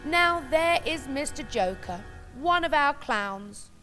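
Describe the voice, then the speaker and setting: A woman's voice: high-pitched, expressive, takes it slowly, sharp tone, faint music behind, pitched above the performer's own voice, full character voice. A woman voicing a dainty young china princess from a storybook, prim and genteel. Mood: chatty.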